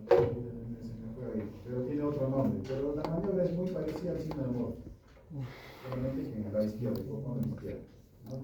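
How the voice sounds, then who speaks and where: Echoey far-off man lecturing. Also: mid-range voice. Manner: conversational, keeps asking "¿no?" and "¿ya?". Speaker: medical lecturer. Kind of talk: informal medical lecture. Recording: echoey room from far off, noisy.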